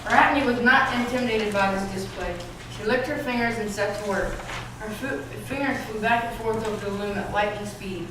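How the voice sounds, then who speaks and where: A woman's voice: mid-range voice, moderate pace. A woman narrating a scripted story for a readers theatre performance. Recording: echoey room, distant mic, noisy.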